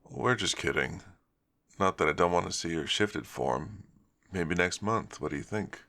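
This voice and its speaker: Man playing a joking, gravelly guy werewolf. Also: low-pitched, lively intonation, a little slow, bright, forceful tone, full character voice. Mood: playful. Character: joking, slightly insecure big male werewolf. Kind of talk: character dialogue in an ASMR story.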